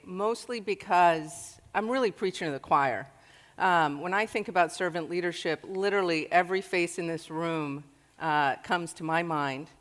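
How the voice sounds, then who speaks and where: Earnest woman in her 40s talking to a crowd, kinda low voice, big echoey hall. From Iraq. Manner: earnest, warm-hearted storytelling. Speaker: guest speaker. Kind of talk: personal talk to an audience. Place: large hall with an audience. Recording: long hall reverb.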